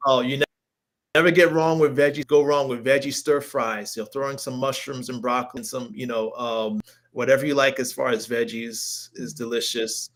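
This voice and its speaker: An enthusiastic man with a mid-pitched voice hosts a casual livestream as a health coach. He rambles as he browses, often repeating his phrases in an informal, chatty way. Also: fairly even intonation, moderate pace, a bit bright. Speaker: health coach hosting a live show. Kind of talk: casual livestream chat while browsing recipes.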